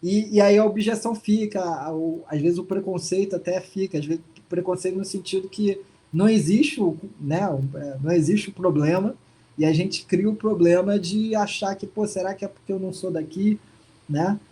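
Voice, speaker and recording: A man's voice: high-pitched, moderate pace, dark tone, rough recording. A man being interviewed over a video call, speaking in a casual, hesitant way with many restarts and fillers. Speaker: interview guest. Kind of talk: casual testimonial chat.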